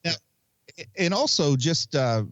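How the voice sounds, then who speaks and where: A man's voice: on the high side, expressive, slow pace, a bit bright, no echo. A middle-aged American man co-hosting a podcast, chatting casually in a curious, wryly joking way. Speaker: podcast co-host. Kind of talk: casual chat. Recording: slightly dull, lo-fi podcast audio.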